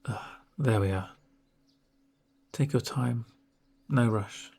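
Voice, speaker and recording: Soft-spoken, creaky-voiced man in ASMR roleplay. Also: medium pitch. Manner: gentle, reassuring, unhurried with pauses. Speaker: ASMR performer playing a home-visit doctor.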